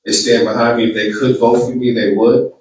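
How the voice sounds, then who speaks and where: A man's voice: medium pitch, level tone, a little fast, a little mellow. A man with a Boston accent giving a campaign speech and taking questions, earnest and often repeating his words. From Boston, Massachusetts. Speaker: political candidate. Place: large room with an audience. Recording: echoey room, distant mic.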